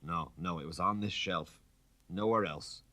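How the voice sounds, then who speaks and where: A man's voice: sits low, measured pace, sharp tone. A man voicing an anxious aristocratic husband, a count who is insistent and easily flustered, in a storybook reading. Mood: discouraged, insistent. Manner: insistent, stammering when shaken.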